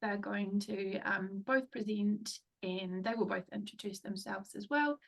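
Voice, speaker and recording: A woman's voice: mid-range voice, a little slow, gravelly, a bit bright, far from the mic. A woman hosting a webinar, opening it informally with many ums. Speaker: webinar host for a patient charity. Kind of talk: casual webinar introduction.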